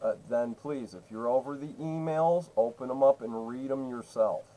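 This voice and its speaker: A man speaks as a caller pressing a complaint with an official's office. His voice is mid-pitched and mellow, with a soft, dark tone. He is emphatic and insistent, repeating himself as he lays out his accusations. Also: moderate pace, slight background noise, rough recording. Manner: emphatic, repetitive, interrupting. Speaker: caller to a state senator's office.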